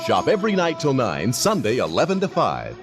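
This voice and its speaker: A man's voice: mid-range voice, wildly swooping pitch, brisk. An American man reading a retail commercial as an announcer on a vintage 1970 radio recording. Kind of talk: radio ad read. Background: guitar music.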